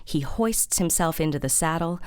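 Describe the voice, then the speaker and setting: A woman's voice: deep voice, animated, normal speed. A woman narrating an audio drama in scripted, present-tense scene descriptions.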